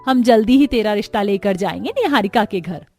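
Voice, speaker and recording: A proud, boastful rich mother from a cartoon tale, played by a woman narrator. She is effusive, mid-pitched, with faint music behind her. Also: lively intonation, brisk, darkish tone. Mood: delighted. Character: proud, delighted rich middle-aged mother of a groom.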